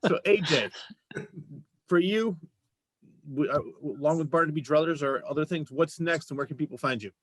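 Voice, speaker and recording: A man's voice: a little high, moderate pace, long pauses, dry recording. A man hosting a show, chatting casually as he interviews his guests. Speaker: show host.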